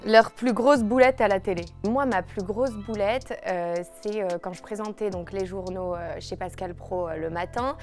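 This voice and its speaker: Young French journalist telling a story. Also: female voice, medium pitch, normal speed, few pauses. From Paris region, France. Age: young adult. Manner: lively storytelling with laughs. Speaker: TV news journalist. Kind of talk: casual interview chat. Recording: music playing underneath.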